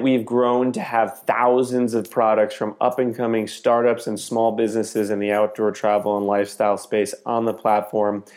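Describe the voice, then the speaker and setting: A man's voice: on the low side, darkish tone. A man hosting a podcast, speaking in a casual, chatty way to listeners and his guest. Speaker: podcast host.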